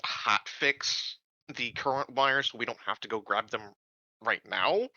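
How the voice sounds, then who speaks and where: A man's voice: medium pitch, unhurried, bright, forceful tone, no echo, lo-fi sound. A man playing a tabletop roleplaying game, chatting casually as he works through his options.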